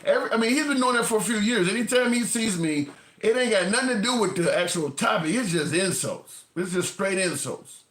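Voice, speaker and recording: A man hosting a casual political chat and talking in a blunt, profane, animated way. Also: high-pitched, a little fast, reverberant. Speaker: political commentator host.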